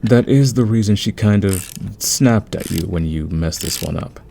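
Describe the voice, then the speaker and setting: A calm veteran thief acted by a man with a very low, creaky voice. He is patient and friendly, smoothing things over conversationally in an audio roleplay, with some noise behind him. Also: normal speed. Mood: gentle, explanatory. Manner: soothing, patient, chuckling, conversational. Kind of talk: ASMR audio roleplay acting.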